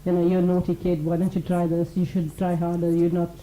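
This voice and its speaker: Mellow, earnest woman teaching mindfulness on radio. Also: on the low side, monotone, fast talker, roomy sound, a little hiss or hum, low-quality recording. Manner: earnest, explains with examples and acted-out quotes. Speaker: mindfulness teacher, show regular. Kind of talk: conversational radio talk.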